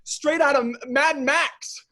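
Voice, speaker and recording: A man's voice: very high-pitched, fairly even intonation, slow, pushed and bright, exaggerated voice, poor audio. An actor playing a rowdy young soccer-fan protester who swears and tells his story in an agitated rush. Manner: crude, breathless storytelling, swearing.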